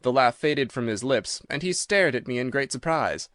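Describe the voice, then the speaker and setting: Measured male mystery narrator, dry sound. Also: mid-range voice, lively intonation, a bit bright, dry recording. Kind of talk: dramatic scripted story narration.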